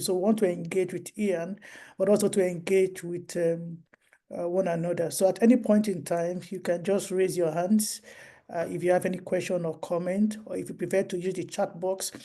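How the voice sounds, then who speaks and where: A woman hosting an online book discussion over a video call, speaking in a semi-formal, halting and deliberate way. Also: lowish voice, warm tone.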